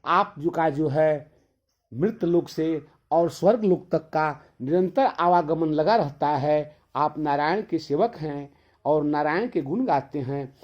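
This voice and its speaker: A man voicing a reverent, distressed young mother who pleads in halting phrases, in a devotional tale. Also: a little high. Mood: pleading, reverent. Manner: beseeching, halting. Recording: dull, lo-fi sound.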